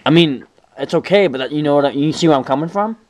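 A man's voice: fairly high pitch, lively intonation, fast talker, a little mellow. A man making a casual phone call in a blunt, informal way. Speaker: prank caller.

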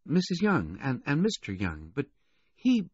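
A man's voice: on the high side, wildly swooping pitch, measured pace, darkish tone, breathy edge, no room sound, highs cut off, pitched above the performer's own voice, exaggerated voice. A man voicing a sulky, bored rich teenage girl who blurts things out, in an audiobook story. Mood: confiding. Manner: disgruntled, blurting.

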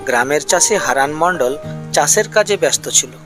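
A storyteller giving scripted narration for an animated village folk tale. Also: mid-range voice, fairly quick. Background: music.

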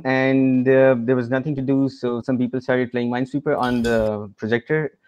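A man at a middle pitch tells a story in a chatty way, full of fillers. He sounds amused by his own telling. His audio comes through dull and lo-fi. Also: darkish tone, smooth. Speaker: podcast guest. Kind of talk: casual storytelling.

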